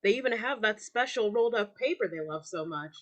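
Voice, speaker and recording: A woman's voice: medium pitch, expressive, a little fast, a bit bright. A woman voicing a fussy, scolding bird in a staged reading, with a dramatic manner. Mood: knowing, admiring. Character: fussy, scolding bird guarding human belongings. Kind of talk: staged reading character acting.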